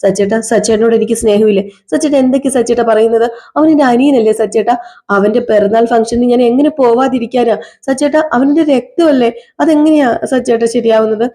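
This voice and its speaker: Distressed young wife, voiced by a woman: pleading, higher and warmer. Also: fast talker, natural voice. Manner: pleading, repeats husband's name, rises in pitch. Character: pleading young wife, tearful, devoted to family. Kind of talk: one-person domestic drama skit.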